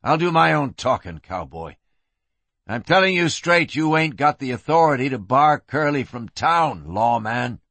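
Hard-bitten Western gunslinger, played by a male narrator: defiant, terse, snarling, mid-pitched, dry recording. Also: big pitch swings, unhurried, a bit bright, no room sound, full character voice.

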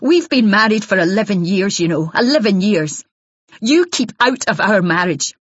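A woman's voice: medium pitch, big pitch swings, moderate pace, husky, dry recording, highs cut off, pitched above the performer's own voice. A woman voicing a hostile, jealous wife who accuses and sneers down the phone. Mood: accusing.

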